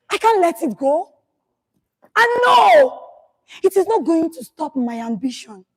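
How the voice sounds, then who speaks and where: A woman performing a grieving, vengeful woman lawyer. She speaks in a dramatic monologue, often shouting through tears. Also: extremely high pitch, low-quality recording. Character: grieving vengeful woman lawyer whose baby was killed.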